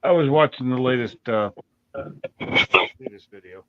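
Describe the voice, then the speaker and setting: A man chats easily as a guest on a car livestream, loose and relaxed, often trailing off into fillers. The sound is a little dull and lo-fi, as if it came through a modest call connection. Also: medium pitch, normal speed, slight background noise. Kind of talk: casual car chat.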